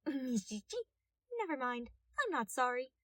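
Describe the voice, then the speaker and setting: Woman voicing a high, whiny, bratty boy detective. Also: very animated, unhurried, fairly bright tone, dry recording, studio quality, far from the mic, full character voice. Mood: cheeky, taunting. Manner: whiny, taunting, mischievous. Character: cheeky, giggly, bratty short young male detective.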